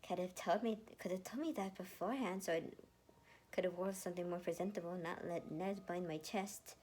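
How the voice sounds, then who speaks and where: A woman's voice: on the low side, a little fast, darkish tone, lightly acted. A woman voicing a grumpy, sarcastic young woman in an amateur story reading. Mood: scoffing. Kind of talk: amateur fanfiction read-aloud. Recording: noticeable background noise.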